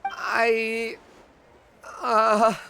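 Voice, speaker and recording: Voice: medium pitch, completely flat delivery, drawn-out words, gravelly, bright, forceful tone, a little hiss or hum, full character voice. An actor playing a deferential drink vendor in a video game, apologetic, hesitant and anxious. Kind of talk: scripted video game voice acting. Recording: faint music behind the dialogue.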